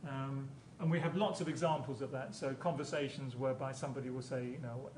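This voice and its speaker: Male professor, hesitant lecture delivery with repeated words, mid-pitched, in an echoey room. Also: fairly even intonation, quick pace, a little mellow. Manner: hesitant, repeats words and phrases while explaining. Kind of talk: academic conference lecture. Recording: echoey room, distant mic, background noise.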